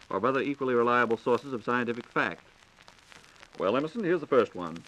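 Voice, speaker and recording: Man, American accent, fast talker, medium pitch, conversational radio host, scripted 1940s broadcast style. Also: a bit bright, poor audio.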